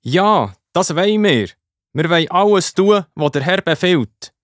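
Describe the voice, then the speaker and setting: A man's voice: high-pitched, lively intonation, measured pace, no echo, clean studio sound. A man playing an earnest, plain-spoken follower among the Israelites in a children's Bible audio drama. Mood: eager, devoted.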